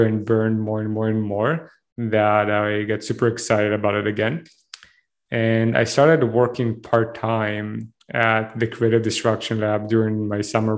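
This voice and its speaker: A man with an Israeli accent speaks in a low voice with very little rise and fall. He tells his story at length in an interview, candid and a little rambling. He is a startup chief executive in his thirties. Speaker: startup CEO guest.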